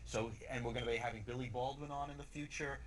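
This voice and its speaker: A man's voice: mid-range voice, fairly even intonation, quick pace, a loud crowd. A middle-aged man, a comedian and radio co-host, plugging his show with wry one-liners as a TV guest. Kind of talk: TV guest interview.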